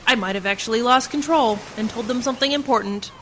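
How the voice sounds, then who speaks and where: A woman's voice: on the high side, fast, a bit bright, close to an everyday voice. A woman playing a defiant, bitter prisoner in a sci-fi radio play, wary and pointed in her questioning. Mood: bitter, resentful. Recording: noisy community radio drama recording.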